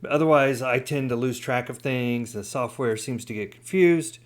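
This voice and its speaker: A man's voice: medium pitch, moderate pace. A man hosting a hands-on DIY tutorial, talking informally and explaining things step by step. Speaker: DIY hobbyist video host. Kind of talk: casual hands-on tutorial talk.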